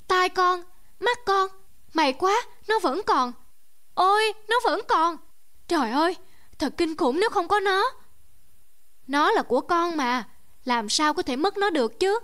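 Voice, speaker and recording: A very high-pitched envious young girl. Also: exaggerated voice. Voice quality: high, bright girlish voice. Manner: fretful and emotional, gasping and crying out.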